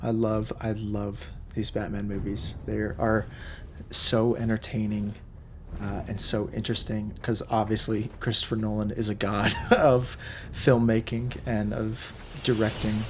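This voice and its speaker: A hoarse young man in his twenties hosting a casual film reaction, chatty and excitable, with many fillers. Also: on the low side, a little slow, a little hiss or hum. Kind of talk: casual movie reaction commentary.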